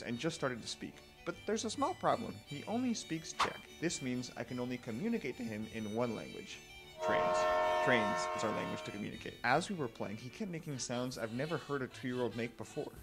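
A young American man narrating a travel vlog with an upbeat, joking, deadpan delivery, into a handheld mic. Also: medium pitch. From United States. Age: young adult. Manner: upbeat, jokey, deadpan asides. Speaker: travel vlogger. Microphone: handheld mic held at chest. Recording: voice-over with music bed.

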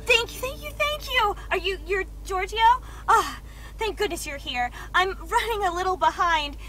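A gushing young seventies gal acted by a woman in a comedic video game. Her voice is extremely high and animated, breezy and excitable, with music behind it. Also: moderate pace, full character voice. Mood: flustered, grateful. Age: young adult. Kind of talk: campy comedic game cutscene acting.

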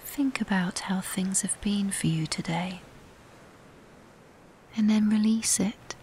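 A woman guiding a scripted meditation in a soft, breathy near-whisper, calm, soothing and unhurried with long pauses. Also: medium pitch, rough recording. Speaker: meditation guide. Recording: steady background hiss.

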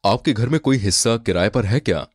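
Male narrator as humble village husband in a story, polite, low-pitched, animated. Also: fairly quick, dry recording, clean studio sound. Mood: earnest.

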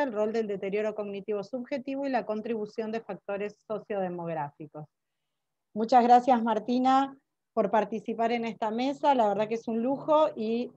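Woman moderator introducing a speaker, kinda high voice, dry muffled call audio. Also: dark tone, dry recording. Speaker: symposium moderator. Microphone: laptop microphone on video call.

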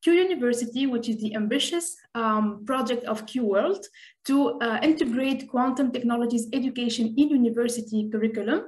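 A woman's voice: a little high, fairly quick, darkish tone. A woman in her twenties from Tunisia with a Tunisian accent gives an explanatory online presentation over a video call, with frequent hesitations. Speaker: presenter, community representative. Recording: roomy video call sound.

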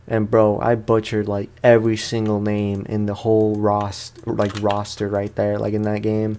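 A man's voice: fairly low pitch, completely flat delivery, moderate pace, warm tone, a little hiss or hum. A man giving casual, rambling, slangy commentary as he plays a video game for his viewers. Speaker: gaming streamer. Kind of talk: casual let's play commentary.

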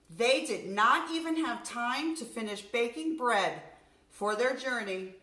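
A middle-aged woman, a nursery school teacher, reading a story aloud to young children in an expressive, deliberate way. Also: a little high. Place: furnished room at home, indoors. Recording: roomy indoor echo.

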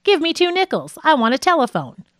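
A woman's voice: sits high, sing-song, fairly quick, dry recording, studio quality, voice pushed up. A woman voicing a wry, slightly impatient male comedian from a radio comedy script in an audiobook.

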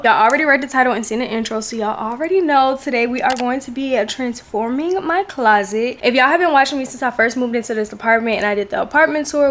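A young American woman chatting casually to her viewers as a lifestyle vlogger, with a slangy, familiar way of talking. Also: on the high side. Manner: slangy, familiar, addresses viewers as besties and girl.

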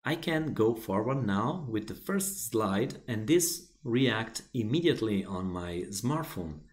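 Man, Italian native, unhurried and fairly low, plain step-by-step tutorial narration with restarts. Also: lively intonation. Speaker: software tutorial presenter. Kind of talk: screen-recorded software walkthrough.

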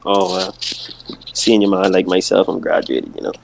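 A man's voice: sits low, steady tone, moderate pace, gravelly, noisy background. A teenage boy making awkward, hesitant small talk as a contestant on a video call. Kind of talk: casual chat on a group call.

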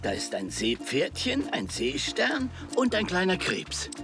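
A kindly old cartoon grandfather, with lively swings in pitch. He muses and encourages in a helpful way, with music underneath. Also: measured pace, heavily acted. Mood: eager. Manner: encouraging, hesitant musing. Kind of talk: dubbed children's cartoon dialogue. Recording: music bed behind the voice.

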